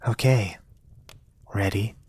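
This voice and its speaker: A young man reading a storybook in a soft-spoken, partly whispered ASMR style, with a gentle, measured delivery. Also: on the low side, fairly even intonation, a little hiss or hum. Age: young adult. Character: polite soft-spoken young male reader, quietly eerie. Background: soft music.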